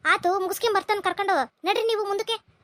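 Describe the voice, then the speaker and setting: A woman's voice: very high voice, steady tone, fast talker, a bit bright, dry recording, studio quality. A woman voicing a fussy middle-aged village mother in a cartoon, speaking with a North Karnataka Kannada accent. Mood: fussing. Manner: fussy, scolding, talks over others. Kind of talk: cartoon voice acting.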